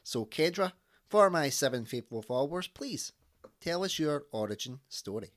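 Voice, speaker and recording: A man's voice: on the high side, expressive, moderate pace. A Scottish man in his thirties hosting a casual interview podcast, joking and self-deprecating as he talks. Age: 30s. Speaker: podcast host.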